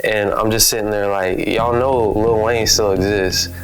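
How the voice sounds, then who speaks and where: Low-voiced matter-of-fact young man. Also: steady tone, unhurried, over music, rough recording. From Texas. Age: 20s. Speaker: rapper in a music group. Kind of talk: casual self-introduction interview.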